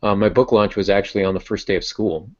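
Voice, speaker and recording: Deep-voiced man chatting on a podcast. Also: steady tone, fast. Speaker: podcast host and novelist. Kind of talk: casual podcast chat. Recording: dull lo-fi audio.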